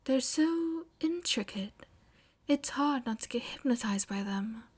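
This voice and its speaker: A woman plays a bashful, smitten teenage girl in an audiobook. Her voice is fairly high and slow, halting and easily flustered, turning breathy when she softens. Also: lively intonation, heavily acted. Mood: shy, smitten. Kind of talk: audiobook character dialogue.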